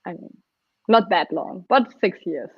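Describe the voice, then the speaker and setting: A woman's voice: on the low side, animated, measured pace, breathy edge, dry recording. A young German woman with a German accent, chatting casually as an interview guest, with many fillers. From northern Germany. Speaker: guest, community lead. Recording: dry, slightly dull lo-fi mic.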